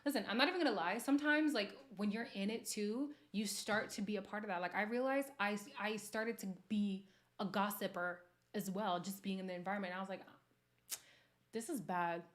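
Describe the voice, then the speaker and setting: A woman's voice: mid-range voice, a little fast. A woman from Montreal hosting a casual livestream, talking candidly and laughing often as she answers her viewers' comments. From Montreal, Canada. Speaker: livestream host.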